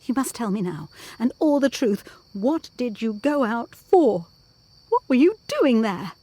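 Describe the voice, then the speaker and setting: A woman playing an anxious, probing young governess in a gothic audio drama, earnest, measured and intense. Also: a little high, big pitch swings, warm tone, dry recording. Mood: anguished urgency.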